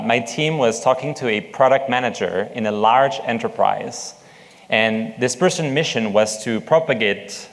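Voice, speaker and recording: A man's voice: mid-range voice, long reverb tail. A man with a French accent giving a conference talk, hesitant with many fillers, asking the audience questions. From France. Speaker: conference speaker, product manager.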